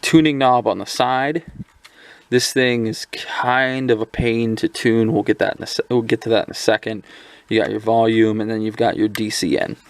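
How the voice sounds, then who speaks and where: A man with a lowish, gravelly voice walks through a small radio in an unscripted review. He rambles a little, filling gaps with ums and restarting sentences as he goes. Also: fairly even intonation, normal speed. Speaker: product reviewer. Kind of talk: casual hands-on product review.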